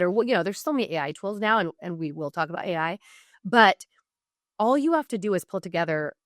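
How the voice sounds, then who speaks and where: A woman's voice: medium pitch, no room sound, clean studio sound. An American woman speaking as a podcast guest, explaining business advice conversationally with emphatic asides and self-interruptions. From Oregon, United States. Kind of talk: conversational interview, informal coaching.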